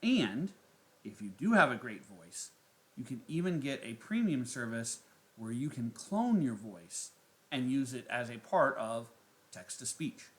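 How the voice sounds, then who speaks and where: A man narrating a step-by-step software tutorial as its host. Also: mid-range voice, sing-song, unhurried.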